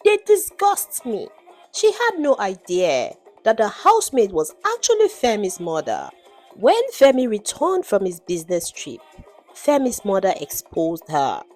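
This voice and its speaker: A woman's voice: mid-range voice, wildly swooping pitch, measured pace, a little mellow, soft background music. A woman narrating a scripted folktale in a dramatic storytelling style.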